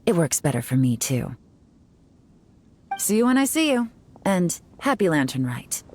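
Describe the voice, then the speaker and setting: A cool, low-toned investigator. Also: female voice, big pitch swings, a little slow, a little mellow, a little hiss or hum. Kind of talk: video game voice acting.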